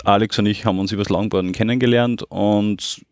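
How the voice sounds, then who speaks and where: A young man from Austria, a company co-founder talking about his background in a relaxed, matter-of-fact way. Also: lowish voice, a little fast, no echo, studio quality. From Lower Austria. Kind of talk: casual interview talk.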